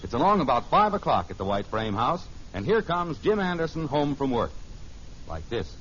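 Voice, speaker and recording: Animated male old-time radio announcer. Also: medium pitch, moderate pace, a little hiss or hum. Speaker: announcer and narrator. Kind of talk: 1950s radio scripted narration. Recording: muffled vintage radio with music. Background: music.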